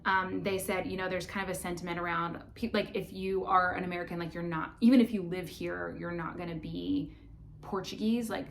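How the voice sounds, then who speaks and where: Chatty woman with a lowish voice. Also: steady tone, a little fast, gravelly, a little hiss or hum. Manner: chatty, lots of um and like, restarts. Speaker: vlog co-host. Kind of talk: casual chat.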